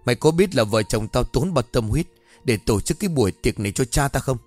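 A man's voice: expressive, normal speed, no room sound, soft background music, close to an everyday voice. A man doing a spoiled scheming daughter. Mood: scolding. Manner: sweet to her father, shrill when angry.

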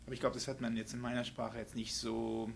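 Man in his thirties from Italy, gravelly voice, hesitant interview answers, faint room noise. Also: mid-range voice, monotone, fast talker. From Italy, to German parents. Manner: hesitant, many fillers. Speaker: guest violinist. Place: backstage dressing room.

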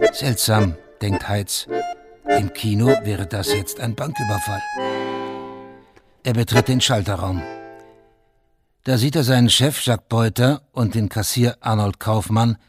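A man with a slightly low voice narrates a radio drama from a script. He is sober and precise, and music plays clearly behind him. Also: unhurried, long pauses.

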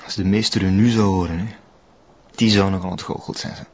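An actor playing a loyal Flemish cult believer, firm, curt and colloquial in a TV crime drama. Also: male voice, low-pitched, fairly even intonation, fairly quick, slightly rough, highs cut off. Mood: stern. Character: loyal, dismissive male believer in a cult master.

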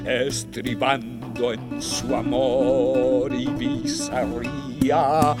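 Very slow male reciter over guitar. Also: medium pitch, expressive.

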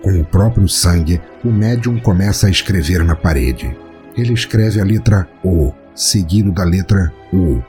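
Man, Brazilian Portuguese accent, low voice, solemn measured horror narration, music clearly underneath. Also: expressive. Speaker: podcast narrator. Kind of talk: dramatic scripted horror story narration.

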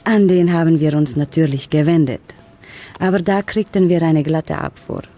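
Female government official, mellow dark voice, measured, businesslike, matter-of-fact, radio drama. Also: low voice. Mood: concerned, matter-of-fact.

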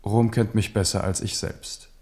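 A man's voice: fairly low pitch, fairly even intonation, normal speed, darkish tone, natural voice. An actor in a radio drama playing a proud, shrewd king, confident and parrying in his manner. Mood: wry, parrying. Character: proud, shrewd, self-assured French king. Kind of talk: 1970s German radio drama acting.

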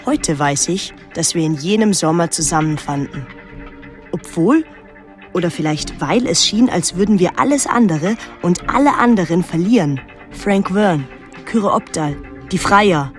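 An actress playing a reflective daughter who narrates a literary radio drama in a measured, introspective way. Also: female voice, fairly low pitch, animated, soft background music. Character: reflective daughter recalling her reclusive painter mother.

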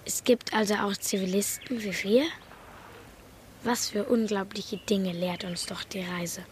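Eine Schauspielerin spricht in einem literarischen Hörspiel eine staunende, leicht spöttische Stimme aus dem Dorf. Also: female voice, mid-range voice, fairly even intonation, measured pace, slight background noise, lightly acted. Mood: wry, wondering. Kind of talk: literary radio drama.

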